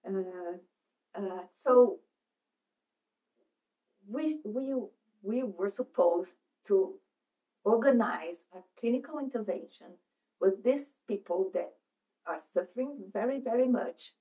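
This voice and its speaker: Woman, middle-aged, Brazilian accent, unhurried and halting seminar talk, seated in a meeting room. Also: on the high side, expressive, warm tone, rough recording. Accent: Brazilian Portuguese. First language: Portuguese. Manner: halting, searching for words, many restarts. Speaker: psychoanalyst speaker. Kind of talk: presentation at a seminar.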